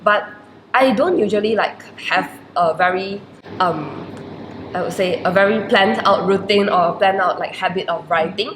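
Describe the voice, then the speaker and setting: A woman's voice: medium pitch, normal speed. A woman in her twenties whose first language is Chinese, speaking Malaysian English, answering interview questions in a reflective way and filling her sentences with "like". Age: 20s. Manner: reflective, many "like" fillers. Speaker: university student guest. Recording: roomy echo with faint noise.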